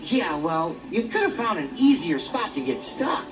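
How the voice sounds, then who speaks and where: A brash little game dragon, bouncy voice, music under it. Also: male voice, high voice, big pitch swings, recorded from a distance, low-quality recording. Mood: cocky. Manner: brash, quick wisecracks. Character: cocky young male dragon hero. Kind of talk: video game cutscene acting. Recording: music behind, from off mic.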